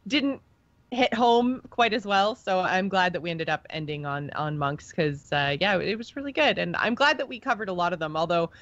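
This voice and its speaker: A woman's voice: lowish voice, normal speed, fairly bright tone, no room sound. A woman co-hosting a podcast, chatting informally as a fan about a video game, on a slightly dull recording. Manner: informal, conversational. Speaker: podcast co-host.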